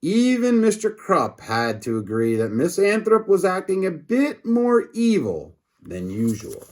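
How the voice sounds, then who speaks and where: A man reading a story aloud to children in an expressive, dramatic way, adding casual asides. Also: high voice, measured pace. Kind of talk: animated read-aloud narration with asides.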